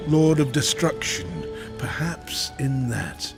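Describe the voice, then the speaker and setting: An actor playing a solemn, ancient maker in a fantasy video game, speaking in a measured, grave and formal way. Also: male voice, medium pitch, lively intonation, vocal fry, music in the background. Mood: solemn, reverent. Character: solemn ancient maker, reverent keeper of forge lore. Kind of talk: video game cutscene acting. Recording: game score behind the voice.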